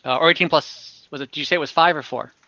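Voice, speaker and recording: A man's voice: a little high, fast, sharp tone, no room sound, dull audio. A man talking casually as dungeon master in an online tabletop game. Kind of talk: casual game-running talk. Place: indoors, online voice call.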